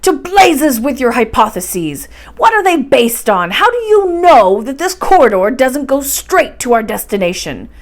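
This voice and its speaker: A woman's voice: a little high, very animated, a little slow, few pauses. A woman voicing an irascible, confident older professor uncle from a storybook, speaking emphatically and brusquely as he lectures. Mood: angry, defiant.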